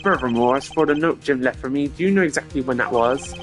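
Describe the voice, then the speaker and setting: A slippery male suspect played by a man talks in a mid-range voice with smug, glib ease, taunting his accuser. Clear game music runs behind him. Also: medium pitch, fast, lightly acted.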